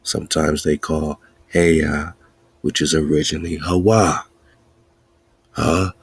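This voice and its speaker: A man's voice: rumbling low, level tone, takes it slowly, gravelly, husky, dry recording. A man hosting a podcast, giving a spiritual teaching talk in a preachy way, repeating and chanting lists and laughing between points. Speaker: podcast host.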